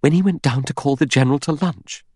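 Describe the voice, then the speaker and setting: A man voicing a sharp, suspicious young woman in an audiobook, speaking briskly and emphatically. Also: a little high, dry recording, studio quality, pitched above the performer's own voice, close to an everyday voice. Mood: insistent. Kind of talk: audiobook character dialogue.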